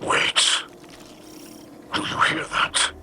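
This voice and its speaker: An actor playing a fierce, commanding alien warrior leader in a video game, with a stern, growling voice. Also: male voice, very slow. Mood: stern, urgent. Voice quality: growling, gravelly. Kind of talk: video game character dialogue. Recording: loud music behind. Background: game music.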